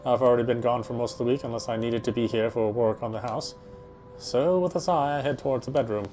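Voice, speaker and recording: A man's voice: mid-range voice, quick pace, mellow, faint music behind. A man reading first-person story narration aloud in a dramatic way as he plays a visual novel.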